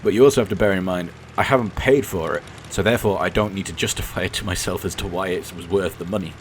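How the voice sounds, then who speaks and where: A hoarse, croaky man with a very deep voice talks casually over gameplay, rambling and stopping to correct himself. His opinions come out blunt and unfiltered. Gunfire and engine noise sound clearly behind him. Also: fast. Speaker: gaming commentator.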